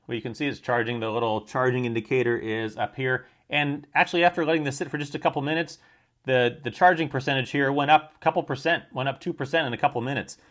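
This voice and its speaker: A man's voice: medium pitch, steady tone, fast talker, fairly bright tone. An American man giving an informal product demonstration and review, explaining each point in a matter-of-fact way.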